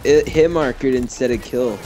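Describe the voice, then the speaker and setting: Giggly teen boy co-player, middle pitch, faint game music behind. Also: normal speed, mellow. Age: teenager. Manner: giggly, joking. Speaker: co-player friend. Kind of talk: casual gaming chat.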